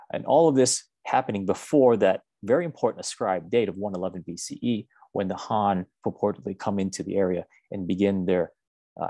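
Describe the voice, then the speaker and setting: An American man in his forties giving a measured, explanatory academic lecture over a video call. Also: lowish voice, creaky, mellow, slightly rough, no room sound. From United States. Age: 40s. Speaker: university professor giving a research seminar talk. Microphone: video call microphone, seated close to webcam.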